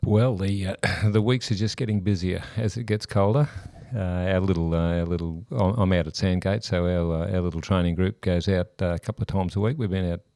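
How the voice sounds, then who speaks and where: A middle-aged man, a cycling club president, speaking in a halting way with many restarts in a casual chat. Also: low-pitched, fairly even intonation, warm tone, dry recording, clean studio sound.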